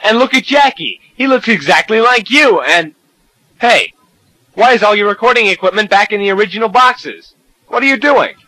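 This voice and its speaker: An indignant young sidekick in a comedy skit, played by a man. The voice is high and raspy, alarmed in tone, recorded very dry. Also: animated, moderate pace, bright, forceful tone, no room sound, exaggerated voice, poor audio. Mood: puzzled. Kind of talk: amateur comedy skit acting.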